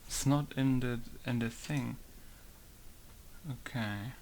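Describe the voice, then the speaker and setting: A man's voice: mid-range voice, takes it slowly, a little mellow, rough recording. Modder, man, casual muttered tutorial, mid voice, faint hiss. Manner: muttering to himself, thinking aloud. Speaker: game modder. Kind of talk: casual tutorial while working.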